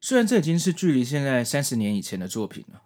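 A man's voice: medium pitch, sing-song, fast, darkish tone. A man with a Taiwanese Mandarin accent presenting a podcast in a semi-scripted, explanatory narration style. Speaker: podcast host.

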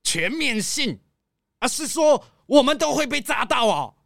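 A woman playing an eager, obliging, easily startled passenger, expressive, in a children's audio drama. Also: high voice, unhurried, creaky, no echo, clean studio sound, full character voice.

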